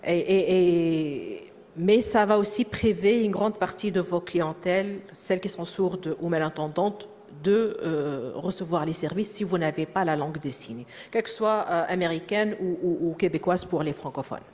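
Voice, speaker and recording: A woman with a French-speaking accent giving a conference presentation, often pausing with fillers and repeating words as she searches for the next phrase. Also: fairly low pitch, darkish tone. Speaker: presenter at a professional development session. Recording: echoey hall.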